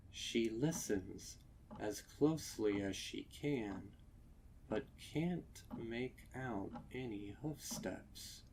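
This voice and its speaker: A man narrating a horror story from a script, dramatically, with deliberate pauses and ominous emphasis. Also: lowish voice, a little mellow, slight background noise, rough recording. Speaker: fan fiction narrator.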